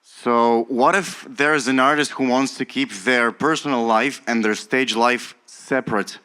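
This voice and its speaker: A man's voice: mid-range voice, fairly even intonation, measured pace, bright, forceful tone, clear, resonant tone. A man in the audience asking a polite, careful question at a conference panel.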